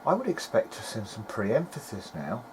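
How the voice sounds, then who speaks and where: A man's voice: on the low side, wildly swooping pitch, normal speed. A man giving an informal, hesitant video-diary narration as he tests equipment, thinking aloud in a noisy amateur recording. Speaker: hobbyist electronics repairer.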